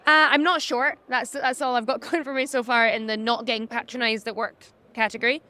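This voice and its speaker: A young woman with a high-pitched voice, talking candidly as a podcast guest, animated and laughing often. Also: a little fast. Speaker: podcast guest, social media business owner. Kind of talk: candid conversational interview.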